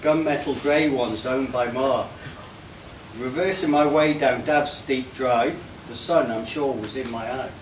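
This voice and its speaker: A man reciting a comic rhyming tribute poem to a gathering, line by measured line. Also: mid-range voice, distant mic. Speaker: reader of a birthday tribute poem. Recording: echoey, noisy room recorded from afar.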